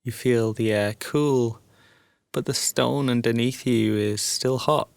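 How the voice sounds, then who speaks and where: A man guiding a sleep meditation as a narrated story, soothing and hushed, leaving long pauses between phrases. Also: mid-range voice, steady tone, creaky, no echo.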